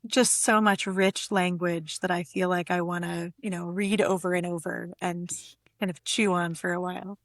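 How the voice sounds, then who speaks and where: A woman's voice: fairly low pitch, little pitch movement, normal speed, no echo. Woman poet in a dry, close recording. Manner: effusive, conversational. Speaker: guest poet and editor. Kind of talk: casual literary conversation with poem reading.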